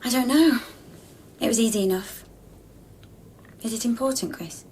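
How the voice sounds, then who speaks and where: An actress in a radio drama playing a forgetful, clinging apparition of a man's lover, hushed and childlike, asking short, searching questions. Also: a little high, normal speed, a little hiss or hum, natural voice. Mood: soft, puzzled. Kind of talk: intimate radio drama acting.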